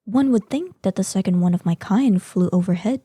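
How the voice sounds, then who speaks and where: Woman doing a proud old dragon lady in human form, haughty n formal, husky breathy voice, super close dry sound, audio roleplay. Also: mid-range voice, normal speed, warm tone, dry recording, close to an everyday voice. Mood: indignant, demanding. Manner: imperious, haughty, formal, theatrical phrasing. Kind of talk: scripted roleplay audio drama.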